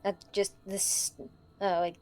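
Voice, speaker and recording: A woman's voice: medium pitch, flat delivery, takes it slowly, a little mellow, no echo. A woman player improvising narration of her character's actions in a tabletop role-playing game.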